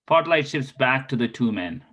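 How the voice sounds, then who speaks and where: A man's voice: medium pitch, expressive, moderate pace, a bit bright, dull audio. A man reading out a synopsis and stage directions as the narrator of a play table read.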